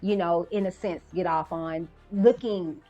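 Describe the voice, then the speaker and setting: A woman's voice: on the low side, unhurried, warm tone, muffled recording, soft background music. A woman speaking candidly and reflectively in a documentary interview. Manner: candid, reflective, many fillers.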